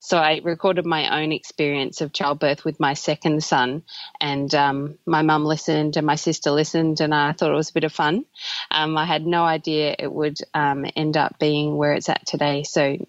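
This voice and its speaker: Australian woman, 30s, deep voice, relaxed chatty guest, dry slightly muffled recording. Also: normal speed, no echo. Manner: chatty, with frequent ums and soft laughs. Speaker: podcast guest. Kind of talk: casual interview chat.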